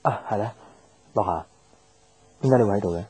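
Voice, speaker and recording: A man's voice: fairly low pitch, fairly quick, dark tone, husky. A man playing an earnest young man in love in a radio drama, sincere and understated, with a Hong Kong accent. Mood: friendly. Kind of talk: 1990s radio drama acting. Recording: radio drama over guitar music.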